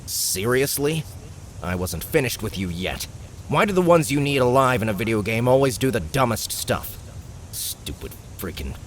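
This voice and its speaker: A man's voice: mid-range voice, big pitch swings, moderate pace, slight background noise, pitched above the performer's own voice, lightly acted. A man voicing a pragmatic male gamer who leads a fantasy party, bossy and dryly grumbling, in an audiobook. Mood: exasperated. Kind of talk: audiobook character acting.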